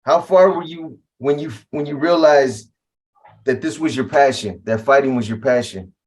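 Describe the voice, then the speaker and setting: A man's voice: mid-range voice, normal speed, darkish tone. Casual male interviewer saying "bro". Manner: casual, friendly, calls the guest "bro". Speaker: interviewer, host. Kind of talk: podcast interview.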